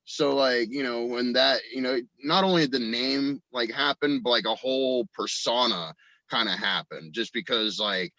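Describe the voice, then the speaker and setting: A man's voice: medium pitch, moderate pace, bright, forceful tone, dry recording, dull audio. A man in a casual chat as a guest, telling personal anecdotes in an informal, rambling way. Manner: informal and rambling, quotes people in acted snippets. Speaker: podcast guest, audio drama actor and writer.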